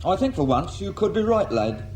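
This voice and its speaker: An actor playing a pompous, wry senior investigator in a radio drama, wordy, condescending and mock-polite. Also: male voice, high voice, sing-song, normal speed, darkish tone. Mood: wry, suspicious. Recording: faintly noisy 1980s radio drama.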